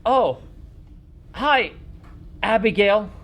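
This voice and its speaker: A man's voice: high voice, expressive, drawn-out words, a bit bright, voice pushed up, exaggerated voice. A man voicing a naive, friendly little talking frog with blunt, childlike remarks in a tabletop game.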